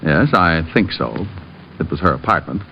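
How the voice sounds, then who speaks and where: A man's voice: rumbling low, animated, normal speed, a little hiss or hum. A man playing a wry, easygoing private detective, wisecracking and narrating in 1950s radio drama style. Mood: probing, measured. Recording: dull old radio recording, music behind.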